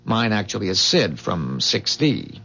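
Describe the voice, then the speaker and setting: An actor playing a calm, earnest man from a dying future, explaining gravely in measured 1970s radio drama style. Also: fairly low pitch, heavily acted. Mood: calm, assured. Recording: dull, muffled 1970s radio drama recording.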